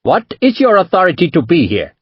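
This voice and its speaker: A man's voice: medium pitch, lively intonation, slow pace, slightly rough, no room sound, highs cut off. A man voicing a merchant who answers with fierce defiance. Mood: defiant.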